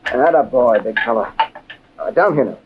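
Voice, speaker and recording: A man's voice: medium pitch, animated, moderate pace, close to an everyday voice. An actor playing a tough, wisecracking American adventurer in an old-time radio drama, slangy and wry as he talks and narrates. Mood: coaxing. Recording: dull, noisy vintage radio recording.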